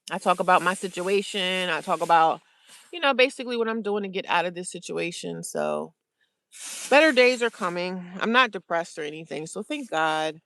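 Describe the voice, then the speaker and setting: A woman's voice: fairly low pitch, fairly bright tone, no echo. Chatty American woman livestreaming. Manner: informal, repeats phrases for emphasis, reads and answers viewer comments. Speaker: vlogger, livestream host. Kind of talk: casual chatty livestream talk to viewers.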